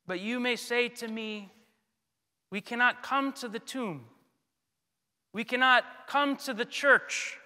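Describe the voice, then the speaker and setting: Male priest, thirties, bright high voice, solemn and deliberate sermon delivery into a pulpit mic. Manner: solemn, deliberate, with frequent pauses. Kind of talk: sermon, measured scripted delivery. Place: large church, indoors at a pulpit. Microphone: gooseneck pulpit microphone. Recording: long church reverb.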